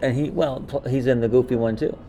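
A man's voice: mid-range voice, fairly even intonation, quick pace, mellow, slight background noise, poor audio. A man co-hosting a podcast in casual, enthusiastic chat, often repeating his phrases. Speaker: podcast co-host.

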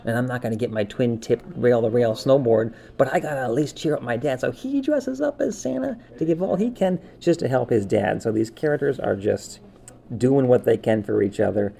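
A man's voice: medium pitch, lively intonation, moderate pace, gravelly, warm tone. A man co-hosting a podcast in casual, opinionated chat, informal and enthusiastic. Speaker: podcast co-host.

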